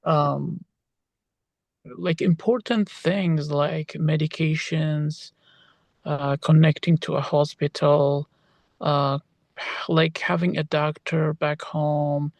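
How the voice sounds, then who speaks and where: A man's voice: a little high, steady tone, slow, gravelly, no room sound. A young man from Iraq, a doctoral student, answering interview questions hesitantly with many fillers and restarts. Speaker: interviewee, PhD student in social work.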